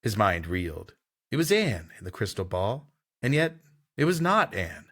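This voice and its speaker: Bright-voiced man reading a story wryly. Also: on the low side, very animated, normal speed. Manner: wry, laughs easily, dramatic narration. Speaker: podcast co-host and reader. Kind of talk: comedic reading of a vintage sci-fi story.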